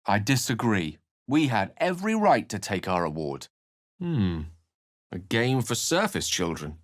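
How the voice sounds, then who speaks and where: An actor playing an erudite, urbane wizard with a dry wit and a theatrical, articulate way of speaking in a video game. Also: male voice, lowish voice, big pitch swings, unhurried. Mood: musing. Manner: theatrical, articulate, formal wording. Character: eloquent, erudite male wizard, urbane and dryly witty. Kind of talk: video game character dialogue.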